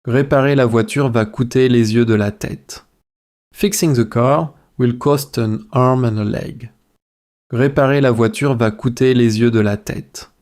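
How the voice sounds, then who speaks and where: Slow, measured man reading drills. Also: mid-range voice, fairly even intonation, darkish tone. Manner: measured, repetitive phrase-by-phrase delivery. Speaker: language teacher.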